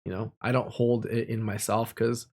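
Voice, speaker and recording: A man's voice: on the low side, little pitch movement, normal speed, a little mellow. A young man telling personal stories in a casual, candid and reflective way, speaking informally. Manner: candid, informal, with mild swearing.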